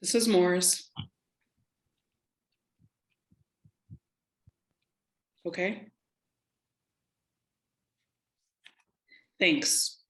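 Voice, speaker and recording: A woman playing a stern, sharp-tongued law enforcement agent who speaks with clipped authority in a drama table read. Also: lowish voice, unhurried, lightly acted. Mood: commanding. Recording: roomy, echoing room sound.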